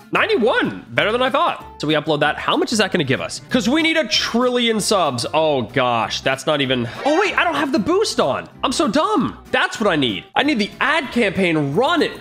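A young man with a high, bright, lively voice talks through a video game, reacting fast and joking. He speaks into a headset mic at home, with faint music under him. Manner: excitable, jokey, fast reactions. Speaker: gaming YouTuber. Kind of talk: casual gameplay commentary. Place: home gaming room, indoors.

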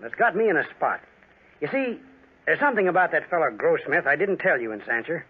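An actor playing a formal small-town organizer of a duel, in 1940s radio drama style. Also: male voice, on the high side, big pitch swings, a little fast. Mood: worried. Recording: tinny 1940s radio recording.

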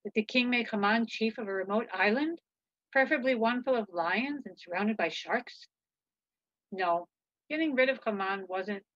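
A woman's voice: medium pitch, a bit bright, no echo. A woman reading a children's story in the first person, expressively, over a video call. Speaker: author reading own children's book. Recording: slightly dull video-call audio.

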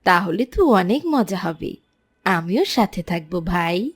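A woman's voice: fairly low pitch, big pitch swings, takes it slowly, husky, heavily acted. A woman voicing a polite, keen young schoolboy in a children's moral cartoon. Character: polite, keen young schoolboy who saves his pocket money.

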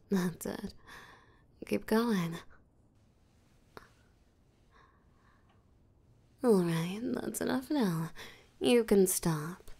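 Woman doing a flirty menacing vampire lady for roleplay audio, possessive n teasing, breathy and whispery, lots of vocal fry, really unhurried. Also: medium pitch, mellow. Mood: commanding, smug. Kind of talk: roleplay audio drama, intimate acting.

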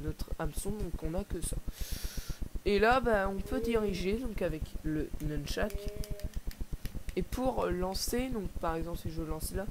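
A man casually commenting on a fishing video game, chatty and hesitant with many fillers, filmed by a camera pointed at a TV. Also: sits high. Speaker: let's play gamer. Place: dark room filmed off a TV screen. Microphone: camera mic pointed at the TV. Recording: noisy camera audio.

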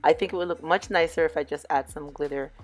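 Hoarse middle-aged woman, lowish voice, easygoing tutorial narration with faint music behind. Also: fast talker. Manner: relaxed, chatty, addresses viewers as "you guys". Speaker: DIY crafts vlogger. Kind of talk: casual crafting tutorial voice-over.

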